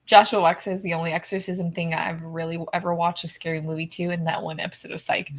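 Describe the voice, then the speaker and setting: A woman's voice: on the low side, level tone, quick pace. A woman co-hosting a watch party, casually reading out viewer comments in a slangy, easily amused way. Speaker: co-host of a movie watch party.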